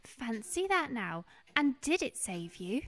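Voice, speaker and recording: Woman acting a high-voiced married lady. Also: sing-song, slow pace, fairly bright tone, full character voice. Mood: pointed. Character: married society woman, curious, gently pointed. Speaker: actress in an amateur radio play. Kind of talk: period radio play acting.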